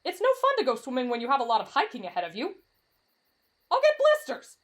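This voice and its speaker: A woman's voice: a little high, very animated, racing, a bit bright. A woman voicing a timid, lovestruck teenage boy in an anime-style roleplay drama, with a dramatic, whiny delivery that swings between fretful and gushing. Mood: chatty, cautious. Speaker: voice actor in roleplay audio.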